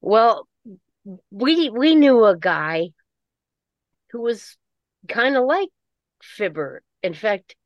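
A woman chatting casually in a halting way, often repeating words as she goes. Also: a little high, sing-song, no echo, muffled recording. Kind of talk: casual chat.

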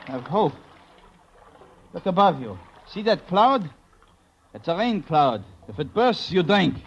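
An actor playing a cool, watchful German man in an old radio drama, speaking in a measured, formal way. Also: high voice, very animated, heavily acted. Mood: calm, guarded. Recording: muffled, noisy old radio recording.

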